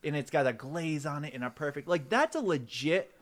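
A man co-hosting a podcast in casual comedic chat, with an excitable, rambling, playful way of talking. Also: fairly high pitch, brisk. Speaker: podcast co-host.

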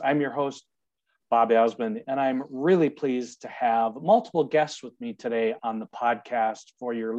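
A man hosting a podcast, introducing his guests in a measured, welcoming way. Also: medium pitch, darkish tone. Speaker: podcast host.

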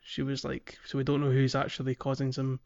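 A man's voice: mid-range voice, monotone, brisk, vocal fry, darkish tone, highs cut off. A man co-hosting a gaming podcast in casual chat, recapping things in a chatty, descriptive way. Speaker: podcast host.

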